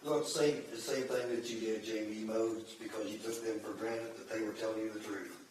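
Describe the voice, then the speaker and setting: A man's voice: fairly low pitch, steady tone, brisk, a little mellow, a little hiss or hum. A man speaking earnestly and matter-of-factly at a village meeting. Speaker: former board member at a public meeting. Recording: very echoey room, distant mic.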